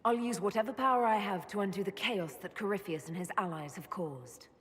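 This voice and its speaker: A woman's voice: medium pitch, brisk, husky, lightly acted. An actress playing a young female elf leader in a game cutscene, speaking in a dry, matter-of-fact way. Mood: dry, even. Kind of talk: video game cutscene dialogue. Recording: game audio, faint ambience.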